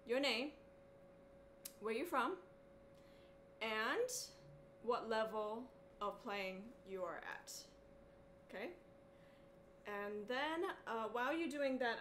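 A middle-aged woman, born in Hong Kong and raised in Boston, chatting casually and haltingly but keenly as a cello teacher hosting a livestream from her living room. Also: fairly high pitch. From born in Hong Kong, raised in Boston, USA. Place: small living room at home, indoors. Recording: clearly noisy home webcam audio.

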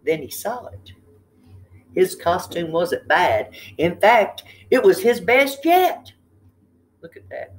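A woman's voice: fairly low pitch, big pitch swings, slow. An older woman reading a children's picture book expressively, with chatty asides and questions to the listeners. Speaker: read-aloud storyteller. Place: indoor room with tiled ceiling.

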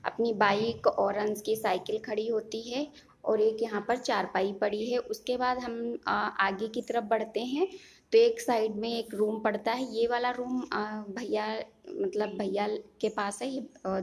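A woman's voice: medium pitch, fairly even intonation, measured pace, slight background noise. A young woman giving a casual house tour in an explanatory way, often repeating and rephrasing herself. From India. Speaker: home vlogger. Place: inside a family house, moving room to room. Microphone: handheld phone behind the camera.

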